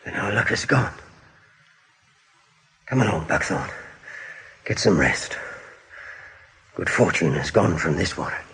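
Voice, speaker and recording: Gravelly, battle-weary rabbit scout. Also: male voice, low-pitched, lively intonation, fairly bright tone, exaggerated voice, low-quality recording. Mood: bleak. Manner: curt, defeated, halting.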